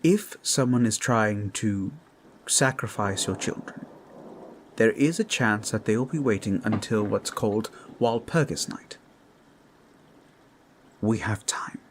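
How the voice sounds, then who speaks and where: A man voicing a calm, scholarly fantasy adventurer, explaining things formally and deliberately in a roleplay audio drama. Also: on the low side, slight background noise, rough recording. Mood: calm, reassuring.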